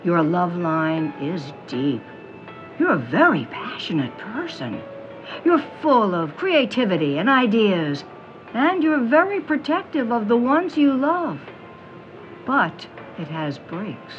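Mystic fortune teller: female, knowing, teasing, very animated, audio drama, music bed. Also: medium pitch, muffled recording. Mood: knowing, theatrical. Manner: theatrical, honeyed pet names, dramatic pauses. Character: mysterious middle-aged woman fortune teller, theatrical, knowing, teasing.

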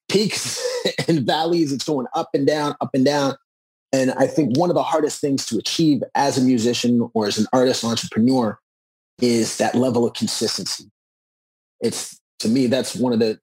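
Male, 40s, slightly raspy mid-pitched voice, earnest interviewee speaking conversationally. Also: a little mellow, rough recording. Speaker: musician and author being interviewed.

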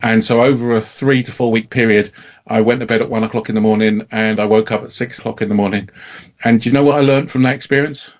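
A man's voice: lowish voice, steady tone, fast, highs cut off. A middle-aged man co-hosting a podcast, chatting casually with fillers and self-deprecating humour. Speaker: podcast co-host. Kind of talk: casual chat.

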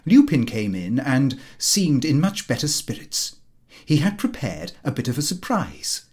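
A man narrating a comic diary in the character of a prim, put-upon, pompous middle-class gentleman. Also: mid-range voice, sing-song, measured pace. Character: fussy, easily affronted middle-class Victorian gentleman diarist. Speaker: audiobook reader.

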